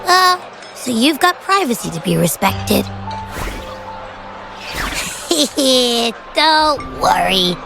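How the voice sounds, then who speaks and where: A woman's voice: sits high, heavily acted. A woman voicing a small, childlike creature in a video game, sassy, dramatic and easily indignant. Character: small, sassy echo creature, childlike, sleepy, hungry and excitable.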